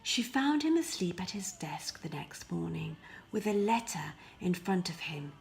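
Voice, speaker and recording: Slow-paced woman narrating a fairy tale. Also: on the low side, sing-song, gravelly. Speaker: storybook reader.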